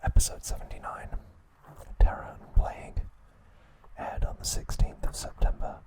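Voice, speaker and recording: A man narrating a scripted recap in a soft, breathy ASMR whisper, slow and deliberate, in short phrases. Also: poor audio.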